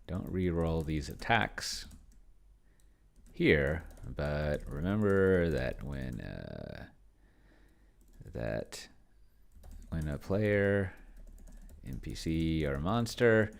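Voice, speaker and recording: A man giving casual think-aloud commentary on a solo tabletop game, halting and muttering to himself in a gravelly voice. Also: rumbling low, expressive. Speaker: solo tabletop gamer, video host.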